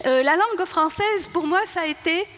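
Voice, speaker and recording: An older woman born in Tunisia whose first language is Arabic, speaking at a panel talk and reflecting in a halting way, often searching for words and restarting. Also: very high voice, animated, fairly bright tone, a little hiss or hum. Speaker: writer on a panel. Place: large hall. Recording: reverberant hall.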